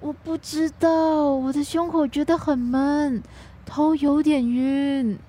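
An anxious, fragile young wife in a radio drama, with a very high voice and a slow, drawn-out delivery. She speaks Mandarin. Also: steady tone, dark tone. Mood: queasy, bewildered. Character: anxious young wife, tipsy, later hungover and fretful.

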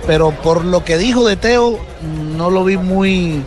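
A man's voice: sits high, a little slow, over music. A man with a Colombian accent giving an unscripted sports report on a radio panel.

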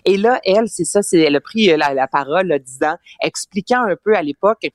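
Chatty low-voiced Quebec woman. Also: expressive, brisk, no room sound. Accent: Quebec French. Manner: chatty storytelling, frequent fillers and laughs. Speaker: radio culture columnist. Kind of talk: casual radio chat.

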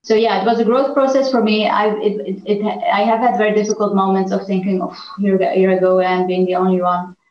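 An earnest woman from Iran talks through her experience as a negotiation trainer. She speaks at a middle pitch and often restarts her sentences. The sound is roomy and echoey, with a dull, lo-fi tone. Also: level tone, a little fast, few pauses. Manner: earnest, many fillers and restarts. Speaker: negotiation trainer and executive coach. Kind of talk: reflective interview answers.

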